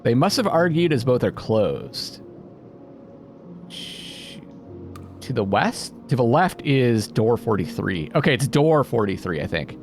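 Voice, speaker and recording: Male game streamer, mid-pitched and very expressive, reads on-screen text with drama and reasons aloud, clear music underneath. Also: measured pace. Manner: animated, dramatic when reading aloud, thinking out loud. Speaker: video game streamer playing a narrative game. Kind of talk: let's-play commentary, reading game text aloud. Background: music behind the voice.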